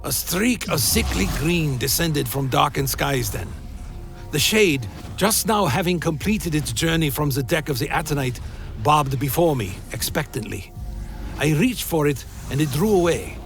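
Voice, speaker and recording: A man playing a brooding vampire who tells his tale in a grave, deliberate, dramatic way, as scripted gothic radio play narration. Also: on the high side, animated, creaky, a bit bright, heavily acted. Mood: grave, awed. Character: Alaric the Damned, brooding male vampire telling his tale. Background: music.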